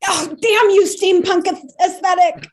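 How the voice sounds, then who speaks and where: A woman's voice: very high-pitched, fairly even intonation, unhurried. A woman playing a dramatic, defiant little female cartoon dog with an intense, emphatic delivery at a comedy table read.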